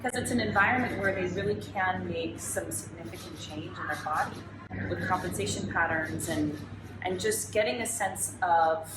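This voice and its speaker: A woman's voice: fairly low pitch, normal speed. A woman from California, a Pilates educator, talking in a reflective, chatty way. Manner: warm, reflective, chatty with "you know" asides. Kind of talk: casual interview. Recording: echoey room with background noise.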